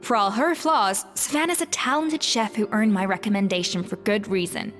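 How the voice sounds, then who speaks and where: A woman's voice: mid-range voice, normal speed, fairly bright tone, big-room sound, soft background music, natural voice. A woman playing a proud, principled young head chef in a video game, speaking in an earnest, firm, formal manner. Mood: composed, doubtful.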